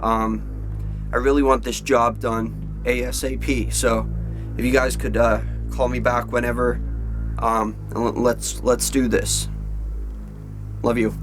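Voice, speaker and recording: A man's voice: mid-range voice, level tone, measured pace. Casual male vlogger over prominent music. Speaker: video host. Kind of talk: casual chat to viewers.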